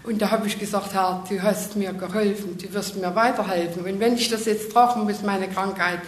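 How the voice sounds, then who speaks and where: A woman's voice: fairly even intonation, moderate pace. A woman sharing an earnest, emotional personal testimony before a congregation. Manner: earnest, emotional, faith-filled storytelling. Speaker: church member giving testimony. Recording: echoey room with faint rumble.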